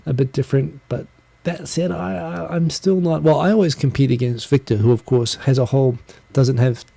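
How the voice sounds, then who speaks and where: Man, New Zealand accent, dark mellow tone, rambling self-deprecating chat, close handheld mic. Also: medium pitch, creaky. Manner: rambling, self-deprecating, talks fast with restarts. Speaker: livestream host. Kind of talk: casual solo live chat. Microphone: handheld USB recorder mic close. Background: computer fan hum.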